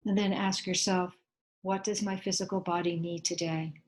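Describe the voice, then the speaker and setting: A woman leading a guided meditation in a gentle, unhurried way, leaving long pauses between short instructions. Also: lowish voice, fairly even intonation, a little hiss or hum.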